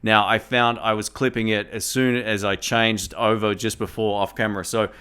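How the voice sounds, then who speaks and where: A man's voice: fairly low pitch, level tone, normal speed, clean tone. A man casually and enthusiastically reviewing a microphone, explaining each step as he speaks into a condenser mic on his table at home. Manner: speaks at varying loudness for gain tests. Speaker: tech reviewer. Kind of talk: casual product review to camera. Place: home room with a fridge nearby.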